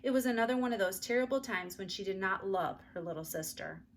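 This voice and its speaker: Female read-aloud storyteller, mid-pitched, expressive children's narration, faint background noise. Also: fast talker.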